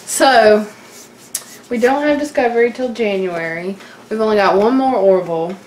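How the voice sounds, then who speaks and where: A woman's voice: medium pitch, normal speed, a little mellow. Casual, wry woman in an echoey room. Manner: informal, wry, joking, mild swearing. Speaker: podcast co-host. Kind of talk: casual unscripted chat about TV. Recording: echoey room, background noise.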